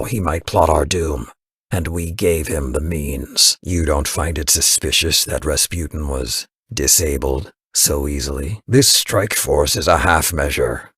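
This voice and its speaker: A stern old war veteran from a video game. His voice is extremely deep and very hoarse, recorded dry. He speaks gravely, with embittered reproach and force, as a mentor scolding. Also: fairly bright tone, dry recording, full character voice. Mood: suspicious, urgent. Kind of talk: dramatic video game voice acting.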